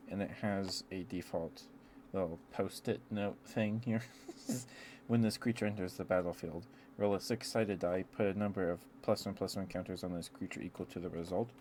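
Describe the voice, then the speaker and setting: A man chatting in a rambling, affectionate ASMR style to live viewers, speaking softly into a close microphone. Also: low-pitched, fairly even intonation, moderate pace. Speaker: ASMR livestreamer. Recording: clear background noise.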